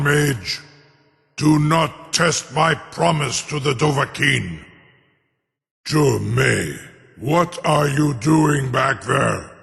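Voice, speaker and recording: An actor voicing an ancient, proud and cunning male dragon in a fantasy video game, speaking in a pompous, formal manner with a gravelly growl. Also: mid-range voice, fairly bright tone, full character voice. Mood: haughty, scheming. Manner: slow, pompous, formal, savoring words. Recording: long cavernous reverb.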